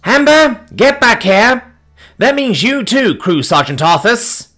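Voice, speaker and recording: A man's voice: a little high, huge pitch swings, measured pace, fairly bright tone, pitched above the performer's own voice, exaggerated voice. A man voicing a flustered female starship captain and protective mother, exasperated and frazzled. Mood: stern yelling.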